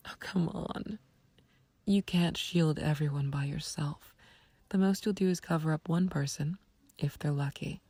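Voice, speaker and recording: Breathy, hushed villainess, acted by a woman. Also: low-pitched, no echo. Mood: condescending, coaxing. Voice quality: breathy, hushed near-whisper. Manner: unhurried, condescending, mock-sympathetic taunting. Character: calm, taunting female villain, menacing yet fond of a friend. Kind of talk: scripted roleplay audio acting, intimate ASMR.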